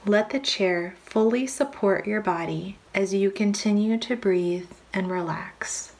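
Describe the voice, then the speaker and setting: A woman reading a scripted guided imagery meditation in a calm, soothing, measured manner with long pauses. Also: medium pitch.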